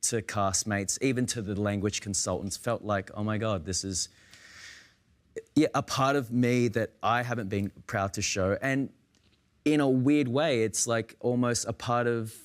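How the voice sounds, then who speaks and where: An Australian man, an actor on a roundtable, speaking in a searching, halting way with many restarts. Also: fairly low pitch, steady tone. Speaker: actor guest. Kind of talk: roundtable conversation.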